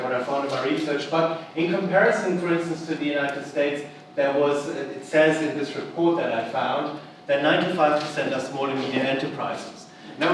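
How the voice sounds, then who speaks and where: A middle-aged man with a German accent gives a chatty conference lecture, full of fillers and restarts. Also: on the high side. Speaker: university lecturer. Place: large conference hall. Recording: echoey hall, voice from far off, background noise.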